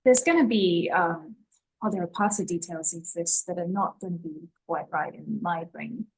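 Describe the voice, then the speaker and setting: A woman with a fairly low, husky voice speaks as a panelist. She explains things conversationally, working through examples in an informal discussion. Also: normal speed, rough recording.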